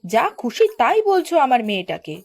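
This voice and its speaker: A woman's voice: on the high side, sing-song, fairly quick, slightly rough, faint music behind, full character voice. A woman voicing a protective village mother in a cartoon, firm and indignant. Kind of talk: cartoon voice acting.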